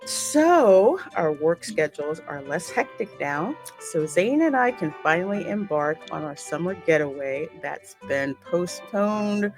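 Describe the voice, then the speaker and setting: An American woman hosting gameplay, reading game text aloud and commenting in a chatty, colloquial way. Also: sits low. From United States. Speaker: gameplay host. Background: faint game music.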